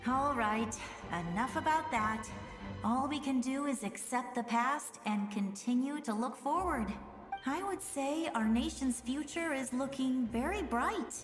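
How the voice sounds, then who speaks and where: A woman's voice: high voice, unhurried. A woman playing a spirited, caring young big-sister healer in a video game, earnest and encouraging. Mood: hopeful. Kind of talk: animated video game voice acting. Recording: echoey reverberant hall, game music behind.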